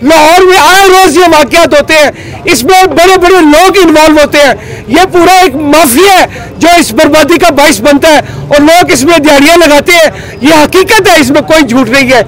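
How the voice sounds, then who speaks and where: A man's voice: extremely high pitch, moderate pace, fairly bright tone, some chatter behind, poor audio. A middle-aged man, a market trader leader pleading in an agitated street interview into a handheld mic. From Pakistan. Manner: agitated, pleading, gesturing hard. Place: outdoors on a busy city street. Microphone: handheld reporter mic.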